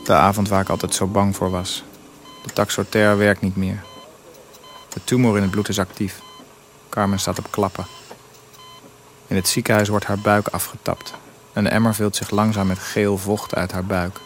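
A man's voice: lowish voice, flat delivery, moderate pace, pauses often, a little hiss or hum, natural voice. A man playing a blunt, bitter husband of a dying wife, narrating in clipped, crude sentences in a confessional radio drama. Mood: weary, bleak. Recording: music behind the voice.

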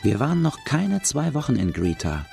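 A man's voice: mid-range voice, wildly swooping pitch, normal speed, gravelly, a little mellow, faint music behind, full character voice. An actor playing a rueful outlaw who recounts his hard childhood, narrating plainly in a radio drama. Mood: reflective storytelling.

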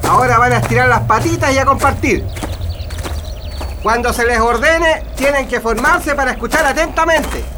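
A man's voice: very high voice, normal speed, noisy background. A man playing a bullying, sneering Chilean military guard in a radio play, barking orders laced with mocking diminutives. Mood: mocking, commanding.

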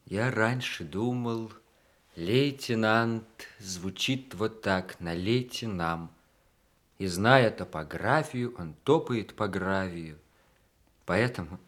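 An actor in a radio play, playing a wry, self-mocking army senior lieutenant who regrets lost love. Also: male voice, fairly low pitch, slow pace, fairly bright tone. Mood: teasing.

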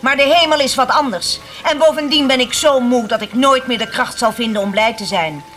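A woman's voice: high-pitched, fairly quick, a bit bright. An actress playing a weary, grieving poor mother, simple and questioning, in a radio drama. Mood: weary, doubting. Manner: plain, doubting, plaintive questioning. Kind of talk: theatrical radio drama acting.

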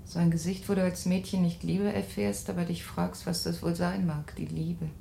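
An actress playing an anxious, tender refugee woman in an intimate radio play, with wistful, searching phrasing and a creaky voice. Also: lowish voice, little pitch movement, normal speed, warm tone. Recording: roomy sound with faint ambient noise.

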